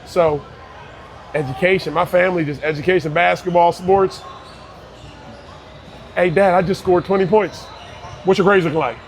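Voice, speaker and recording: A man's voice: high voice, fairly even intonation, moderate pace, long pauses, slight background noise. A man in his thirties from Barbados, a youth basketball coach talking earnestly in an interview, often restarting his words. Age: 30s. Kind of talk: casual interview conversation.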